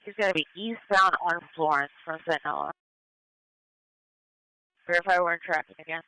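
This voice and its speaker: Bright, crisp male air unit on police radio. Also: a little high, normal speed, dry recording, low-quality recording. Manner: terse, running call-outs of directions. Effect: police radio transmission. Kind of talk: police radio pursuit commentary.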